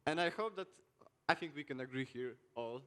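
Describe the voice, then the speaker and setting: Clear-voiced young man. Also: medium pitch, expressive, normal speed, fairly bright tone. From Poland. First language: Polish. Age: late teens. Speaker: panelist, student. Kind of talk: semi-formal panel introduction.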